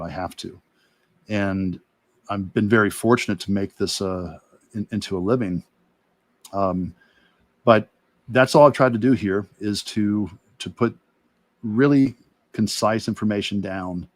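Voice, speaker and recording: A middle-aged man, an art teacher, talking earnestly and reflectively in halting phrases in a casual livestream discussion. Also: on the low side, dry recording. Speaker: artist and illustration teacher, guest.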